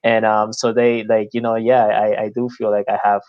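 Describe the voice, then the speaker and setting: A man's voice: on the low side, little pitch movement, normal speed, clear, resonant tone, no echo, dull audio. A man from Peru chatting on a podcast, telling stories in a laid-back way with lots of fillers. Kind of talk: casual podcast conversation.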